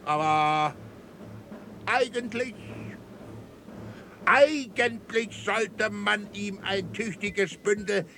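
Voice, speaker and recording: A man's voice: high voice, drawn-out words, creaky, long pauses, bright, forceful tone, a little hiss or hum, heavily acted. An actor in a radio drama playing a sneering, authoritarian colonial military commandant who barks and mocks as he interrogates. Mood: threatening. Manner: barking, mocking, interrogating.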